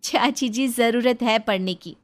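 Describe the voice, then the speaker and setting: A woman's voice: fairly high pitch, unhurried, natural voice. A woman voicing a principled young daughter-in-law and village teacher in a cartoon story, earnest and persuasive. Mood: earnest, encouraging. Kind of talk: cartoon moral story dialogue.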